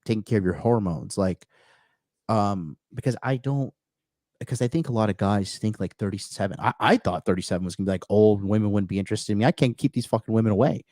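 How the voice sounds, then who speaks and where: A man's voice: on the low side, quick pace, darkish tone, no room sound, clean studio sound. A man in his thirties hosting a casual livestream chat, talking brashly and profanely, rambling with lots of fillers and restarts. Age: 30s. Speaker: podcast host.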